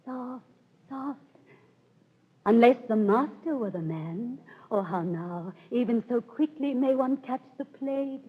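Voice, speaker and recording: A woman's voice: a little high, lively intonation, measured pace, husky. A woman acting a lovelorn young noble figure in a declamatory 1930s radio Shakespeare style. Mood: smitten, agitated. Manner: theatrical, declamatory. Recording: dull vintage 1930s radio recording.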